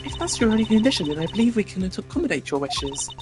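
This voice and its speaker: Figure: a courteous, accommodating flight attendant woman, as a man puts her on. Lightly raised pitch and a polite service manner. Game music plays under her. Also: big pitch swings, racing.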